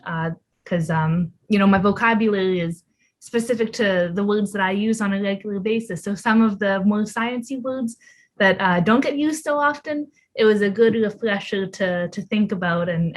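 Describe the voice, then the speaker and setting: A young woman, a PhD student being interviewed over a video call, answering in a friendly, thoughtful way with many fillers. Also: medium pitch. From Ontario, Canada. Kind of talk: casual interview.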